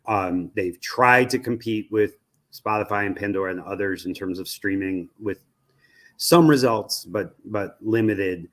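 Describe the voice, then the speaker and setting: An American man giving conversational, analytical interview answers as an expert guest, thoughtful and halting, often restarting his phrases. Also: on the low side. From United States. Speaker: media research executive, interview guest.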